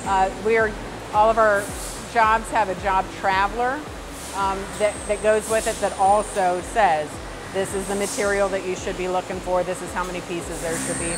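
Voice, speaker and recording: A woman's voice: medium pitch, flat delivery, normal speed, background noise. A middle-aged woman, a company president, talking casually in an interview with lots of fillers and restarts, on a lapel mic. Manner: conversational, many fillers and restarts. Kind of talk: shop tour interview. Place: studio set, then machine shop floor. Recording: music bed under the voice.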